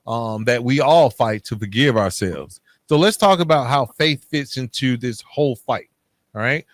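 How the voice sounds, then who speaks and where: A man's voice: medium pitch, no echo. A man hosting a podcast interview in a casual, affirming, conversational way, adding reflective commentary. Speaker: podcast host.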